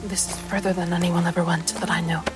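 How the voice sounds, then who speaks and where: A woman playing a fierce but caring foxkin mage in a tabletop fantasy game, speaking gently but firmly. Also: lowish voice, level tone, fast, music in the background, lightly acted. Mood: wary.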